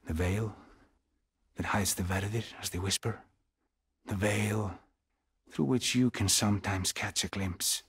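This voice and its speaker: A man playing a weary, haunted Norse settlement leader in a video game, speaking gravely and deliberately in a husky, breathy voice. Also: on the low side, fairly even intonation, fairly bright tone, exaggerated voice. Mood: solemn, mystical. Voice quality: husky, breathy, often near a whisper. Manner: slow, deliberate, grave, with pauses. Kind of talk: dramatic video game acting.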